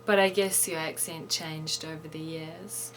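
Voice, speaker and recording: A woman's voice: low-pitched, steady tone, unhurried, a little hiss or hum. Woman reading short news, then interviewing. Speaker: host and news reader.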